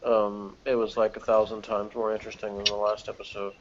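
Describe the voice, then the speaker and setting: A man with a lowish voice gives opinions in a casual, rambling review chat. The recording is dull, with faint noise behind him. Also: little pitch movement, brisk. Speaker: review panelist. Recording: dull, noisy online call audio.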